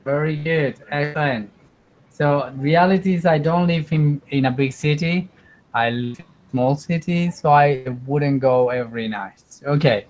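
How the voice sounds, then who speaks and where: Man, online English teacher, slow, patient, repeats himself, laptop mic. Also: on the high side, a little mellow, highs cut off. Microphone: computer mic on a video call.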